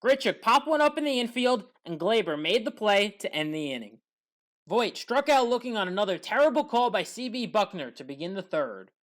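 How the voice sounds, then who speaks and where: A woman narrating scripted sports highlights play by play as a commentator, leaning hard on the big moments. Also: big pitch swings, a little fast.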